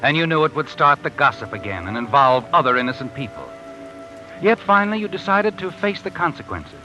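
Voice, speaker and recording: A man's voice: mid-range voice, sing-song, a little fast, pushed and bright, soft background music, poor audio. An actor playing a cool, knowing narrator in a 1950s radio drama, speaking to a guilty man as "you" in an insinuating way.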